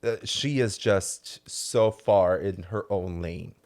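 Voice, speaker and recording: Low-voiced sassy male host. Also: slow pace, darkish tone. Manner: gushing, sassy, emphatic. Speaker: podcast host. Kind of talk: casual interview chat.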